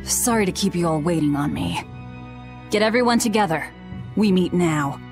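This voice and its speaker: Low, curt, driven fighter. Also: female voice, lively intonation, moderate pace, over music. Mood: brusque. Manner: curt, stern. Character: blunt, driven, hard-edged young female fighter. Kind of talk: English game voice acting.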